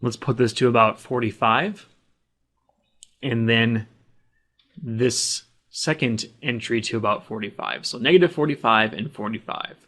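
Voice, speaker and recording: A man's voice: medium pitch, a little slow, pushed and bright. Man giving step-by-step tutorial narration. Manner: plain, instructional, explaining each action as he does it. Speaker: tutorial instructor. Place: voice-over of a screen recording.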